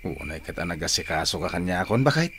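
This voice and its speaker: A meek working husband in an Ilocano radio comedy. He stammers and sounds dim and easily startled, his voice jumping up and down in very animated swings. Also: deep voice, quick pace, slight background noise. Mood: meek, resigned. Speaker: radio drama actor.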